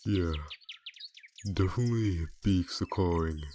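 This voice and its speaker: A laid-back skeleton grim reaper acted by a man for a fan comic dub. He is very deep and dark in tone and takes his time, drawling wry, morbid puns with a deadpan air. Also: a little slow, slight background noise. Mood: sheepish, joking. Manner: dry, deadpan, drawling pun delivery. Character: laid-back skeleton reaper, wry pun-loving male, darkly teasing.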